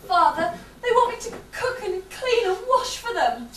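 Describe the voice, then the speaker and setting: Woman acting kind put-upon daughter, earnest, lively pitch swings, distant noisy stage recording. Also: moderate pace, exaggerated voice. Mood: protesting. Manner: earnest, plaintive. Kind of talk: amateur pantomime stage acting. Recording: echoey, noisy hall from far off.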